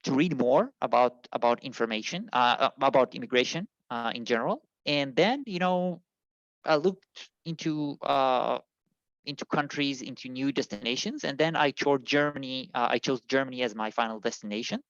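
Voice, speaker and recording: A man from Israel, an immigration consultant, answering interview questions in a halting way with many fillers and restarts. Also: medium pitch, fairly bright tone, no room sound, highs cut off.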